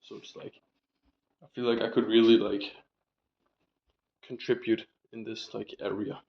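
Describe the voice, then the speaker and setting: A man's voice: on the low side, flat delivery, unhurried, creaky, highs cut off. A young man rambling casually in a diary vlog, full of fillers and casual swearing, his voice still recovering from a sore throat. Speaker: vlogger.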